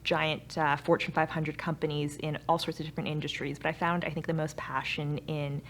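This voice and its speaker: A woman's voice: sits low, flat delivery, a little fast, slight background noise. A young woman with a Canadian accent, answering interview questions as a startup founder in an earnest, explanatory way. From Canada, grew up in Vancouver. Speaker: startup founder and chief executive. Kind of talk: interview answers about own company.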